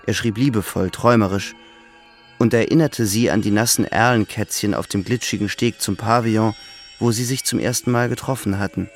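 Lower-pitched male literary narrator. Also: fairly even intonation, brisk, soft background music. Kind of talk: scripted narration of a literary radio drama.

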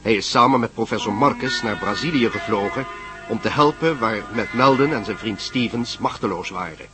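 A man narrating a radio drama recap in a measured, dramatic way. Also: on the low side, over music.

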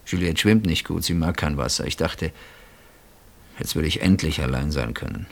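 Radio drama role: betrayed older husband, very low voice, sardonic, brooding, wounded. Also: male voice, moderate pace, creaky. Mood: brooding confession. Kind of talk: German radio play acting.

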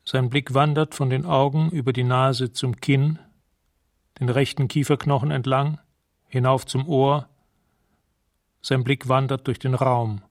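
A man narrating a radio drama in a measured, detached, matter-of-fact way, as if describing scenes. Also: mid-range voice, dry recording, studio quality, lightly acted. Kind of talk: scripted present-tense radio drama narration.

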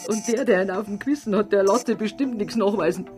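An actress playing a blunt, stubborn, grieving middle-aged aunt with a Bavarian accent in a naturalistic radio drama. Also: female voice, medium pitch, fast talker, darkish tone, soft background music, natural voice. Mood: bitter, accusing. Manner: blunt, insistent, wounded. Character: stubborn, indignant, grieving aunt, plain small-town Bavarian woman.

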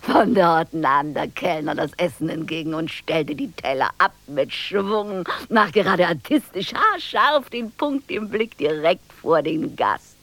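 An actress playing a brooding, sardonic, anxious woman in a radio play monologue, with a breathy, ruminating delivery. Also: low voice, expressive, a bit bright, dry recording, heavily acted. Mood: savouring recollection. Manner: theatrical, ruminating, drawn-out emphatic phrasing.

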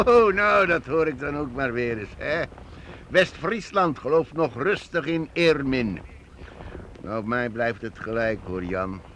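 Clear background noise surrounds a seasoned leader of wanderers in a radio play. He has a medium-pitched voice and talks with wry, emphatic authority. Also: lively intonation, a little slow, a bit bright. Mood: wry, teasing. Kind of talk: radio drama acting.

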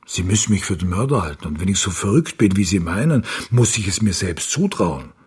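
A man's voice: low-pitched, big pitch swings, brisk, darkish tone, husky, heavily acted. An actor playing a stubborn, haunted man searching for his lost daughter, wry and evasive, in a radio crime drama. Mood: wry, defiant.

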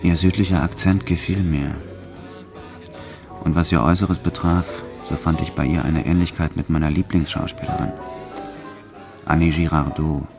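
An actor playing a brutal, proud French gangster who tells his life in measured, sombre radio play narration. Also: extremely low pitch, steady tone, over music. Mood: reflective, tender.

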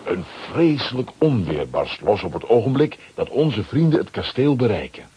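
A man narrating a children's radio play as a scripted storyteller, with a very husky voice. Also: fairly low pitch, sing-song, moderate pace, warm tone, exaggerated voice.

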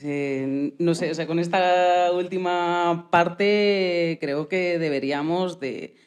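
A radio interviewer speaks at a middle pitch. The delivery is conversational and earnest, moving between questions and reflective commentary. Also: darkish tone.

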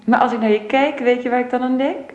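A woman's voice: fairly high pitch, fairly even intonation, fast, a little mellow, reverberant, lightly acted. An actress playing a frank, worldly, chatty woman friend in a Dutch radio drama, speaking with a Dutch accent. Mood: breezy, gushing.

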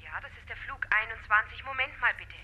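Radio play airline clerk: helpful, chatty woman, highish piercing bright voice through a phone, faint hum. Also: a little fast, dull audio. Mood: polite, sympathetic. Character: helpful, sympathetic female airline booking clerk. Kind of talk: German radio drama acting.

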